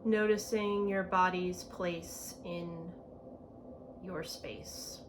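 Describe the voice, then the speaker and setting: A woman leading a guided meditation in a gentle, unhurried manner, with spacious phrasing and long pauses. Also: on the low side, fairly even intonation. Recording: clear background ambient noise.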